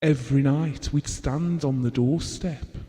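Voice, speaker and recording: Actor playing a mellow, devoted, possessive husband. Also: male voice, medium pitch, natural voice. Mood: tender, possessive. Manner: measured, reflective storytelling. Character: devoted, possessive husband Bill, gentlemanly and wistful. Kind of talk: audio drama monologue. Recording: long reverberant hall sound.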